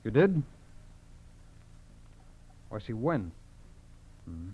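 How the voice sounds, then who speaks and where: An actor playing a brisk, matter-of-fact FBI agent in an old-time radio crime drama. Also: male voice, lowish voice, big pitch swings, breathy edge, full character voice. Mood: grave, brisk. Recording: dull, faintly noisy old radio recording.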